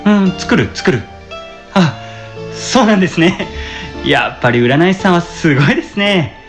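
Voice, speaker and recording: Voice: medium pitch, animated, moderate pace, soft background music, natural voice. A performer playing a timid, earnest young male customer in a radio drama, polite, hesitant and grateful. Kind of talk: amateur radio drama acting.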